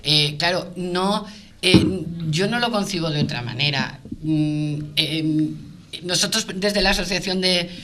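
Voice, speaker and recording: A woman with a bright, forceful voice argues her points in an unscripted debate. She is emphatic yet halting, circling back over words, with noticeable noise and clicks behind her. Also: lowish voice. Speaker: business association representative on a debate panel.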